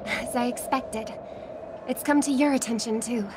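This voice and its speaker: A woman playing a gentle, polite young singer in a video game, speaking softly and with measured care. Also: on the high side, fairly even intonation, a little hiss or hum, natural voice. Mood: thoughtful, concerned. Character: gentle young female pop singer, caring, polite, thoughtful. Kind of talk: video game story acting.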